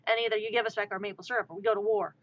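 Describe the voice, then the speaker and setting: A woman voicing Canada as an indignant, protective figure who scolds quickly. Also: medium pitch, fairly even intonation, lightly acted. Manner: scolding, fast. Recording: muffled lo-fi stream audio.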